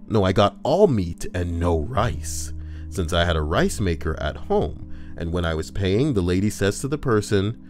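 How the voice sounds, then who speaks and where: A deep-voiced man on a casual livestream, reading posts aloud and jumping between them with crude jokes. His low voice swings widely in pitch as he reacts, with soft music underneath. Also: big pitch swings, moderate pace.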